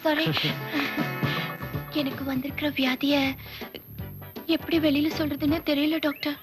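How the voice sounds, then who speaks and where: A very high, girlish voice belongs to a timid young woman who walks in her sleep. She hesitates and stammers as she confides in her doctor. It is a comedy scene from a film. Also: level tone, a little slow, over music. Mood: bashful. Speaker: patient.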